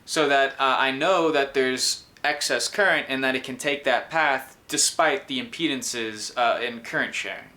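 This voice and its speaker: Bright-voiced young man explaining electronics. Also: medium pitch, measured pace, hardly any pauses. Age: 20s. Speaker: host explaining his electronics project. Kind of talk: informal technical explanation to camera and in voice-over. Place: small room indoors.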